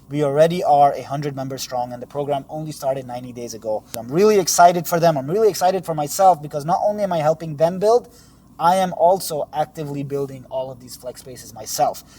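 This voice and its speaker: Man in his thirties, entrepreneur vlogger, warm darker voice, middle pitch, relaxed unscripted delivery. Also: a little hiss or hum. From Dubai, Middle East. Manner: loose, conversational, with fillers and self-corrections. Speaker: real estate entrepreneur. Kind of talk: casual talk to camera.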